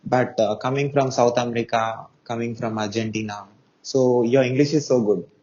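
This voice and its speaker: A man's voice: mid-range voice, steady tone, moderate pace, darkish tone. Hesitant male interviewer, lo-fi call. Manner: hesitant, many fillers. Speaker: interviewer, host. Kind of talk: casual interview questions.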